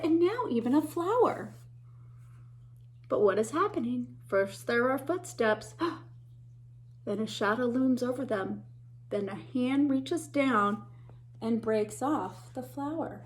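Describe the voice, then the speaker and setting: A woman with a slightly high voice reads a children's picture book aloud in the warm, expressive way of a teacher at storytime. She shifts her pacing for suspense, sometimes hushing to a whisper, and stops now and then to ask little listeners what they can spot on the page. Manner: animated, gasps and whispers for suspense, pauses to point out pictures.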